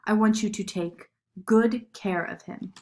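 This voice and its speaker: A woman voicing a kindly older male toymaker in a storybook, gently instructive. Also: medium pitch, unhurried, slight background noise, close to an everyday voice.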